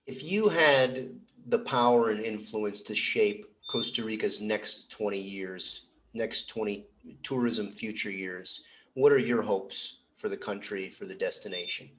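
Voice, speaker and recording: American male host, low voice, rambling chatty questions over a video call. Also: a little slow, a bit bright, low-quality recording. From United States. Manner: chatty, long winding questions. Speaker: podcast host. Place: San Jose.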